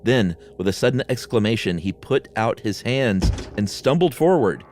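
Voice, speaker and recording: Male podcast narrator, low pitch, animated, casual yet dramatic. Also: moderate pace, music in the background. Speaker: podcast co-host and story narrator.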